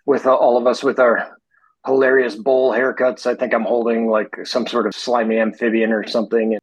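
A man's voice: medium pitch, level tone, normal speed, no echo, poor audio. A middle-aged man from Michigan with an American accent, chatting casually as a podcast guest. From Michigan, United States. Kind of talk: casual chat.

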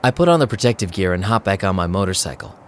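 A man's voice: low-pitched, very animated, fast, slight background noise. A man playing a wry, streetwise vampire DJ, narrating an audio drama in a sardonic, casual way.

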